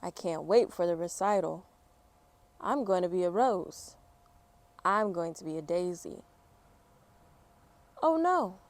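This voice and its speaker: A woman voicing excitable, mocking young children in a storybook, loud, exaggerated and drawn-out. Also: lowish voice. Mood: excited, alarmed.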